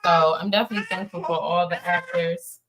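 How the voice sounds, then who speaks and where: A woman's voice: lowish voice, fairly quick, background noise. An American woman talking casually as an interview guest, informal and emphatic, repeating words for emphasis. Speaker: interview guest, playwright and producer.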